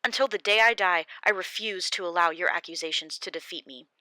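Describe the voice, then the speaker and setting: A woman's voice: fairly low pitch, a little fast, pushed and bright, dry recording, rough recording. A woman voicing Job, an anguished, defiant old man, in an impassioned, raised pleading delivery for an audiobook. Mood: defiant grief. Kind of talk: audiobook character reading.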